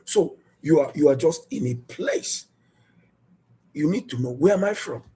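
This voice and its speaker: Lively, husky-voiced male preacher. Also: a little high, unhurried. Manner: emphatic, repetitive rhetorical questions. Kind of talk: sermon.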